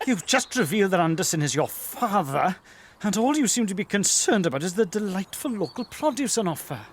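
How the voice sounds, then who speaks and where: An actor playing a sarcastic, impatient detective chief inspector in a comic audio drama. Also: male voice, sits high, big pitch swings, fairly quick, slight background noise. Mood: incredulous.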